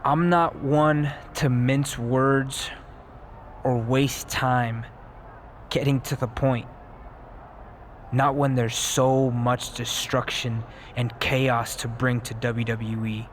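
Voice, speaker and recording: A man's voice: medium pitch, level tone, takes it slowly, a little hiss or hum, lightly acted. A man playing a smug young villain wrestler in a scripted video game cutscene. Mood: menacing, deliberate.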